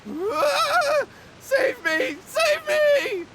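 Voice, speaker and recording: A man's voice: very high voice, sing-song, extremely slow, noisy background, heavily acted. An actor playing a cowardly, scheming young brother in a video game, flustered and pleading as he explains himself. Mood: frightened.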